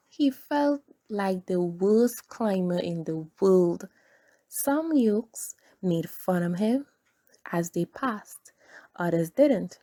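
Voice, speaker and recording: A woman hosts a children's read-aloud in a slow, deliberate way. Her voice is soft-edged and mellow, and she phrases things simply, like a teacher with little kids. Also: medium pitch.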